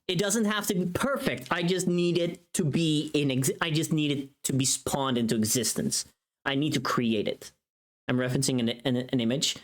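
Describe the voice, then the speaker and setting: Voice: mid-range voice, normal speed. A livestream host chatting casually while working, informal and sweary, often thinking aloud.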